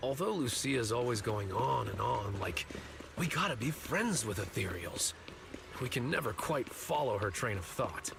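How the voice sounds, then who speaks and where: A man's voice: medium pitch, big pitch swings, normal speed, creaky. A man playing an affable, fondly exasperated friend with a casual, wry manner in a video game. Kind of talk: English video game voice acting. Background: prominent music.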